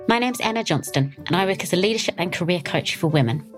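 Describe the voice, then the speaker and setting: A woman's voice: fairly low pitch, lively intonation, quick pace, soft background music. Encouraging New woman hosting. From New Zealand. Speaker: podcast host, career coach. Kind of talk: scripted podcast intro and interview questions.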